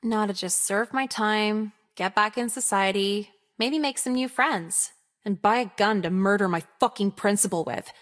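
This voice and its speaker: A woman's voice: mid-range voice, normal speed, natural voice. A woman acts out a callous American teenage girl in a visual novel. She speaks in a mid-range voice, casual and full of slang. Her delivery is sardonic and self-absorbed, like a confession with no remorse. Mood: sardonic, reflective. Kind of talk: visual novel voice acting, first-person monologue.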